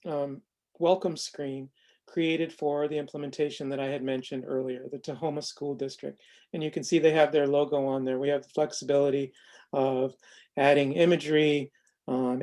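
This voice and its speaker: A man presenting a software demonstration in an informal, unscripted way, explaining carefully and haltingly with self-corrections. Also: a little high. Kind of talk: informal narrated screen demo, unscripted explanation.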